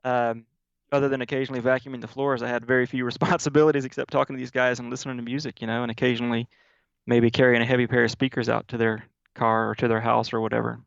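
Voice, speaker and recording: A man from Mississippi, a guest in an interview, telling stories in a relaxed way with many fillers over a video call. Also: medium pitch, quick pace, vocal fry, no room sound, dull audio. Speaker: guest, audio software developer.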